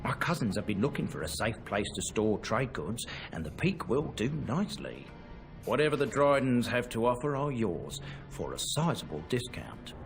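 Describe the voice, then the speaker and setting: An actor playing an easygoing, chatty dwarf trader in a game. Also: male voice, medium pitch, sing-song, normal speed. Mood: friendly. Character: friendly male dwarf trader. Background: game music.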